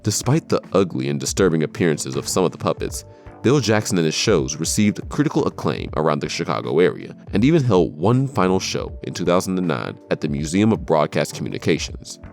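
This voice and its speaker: A man's voice: deep voice, very animated, a little fast, gravelly, darkish tone, faint music behind. A man reading scripted narration for a countdown video.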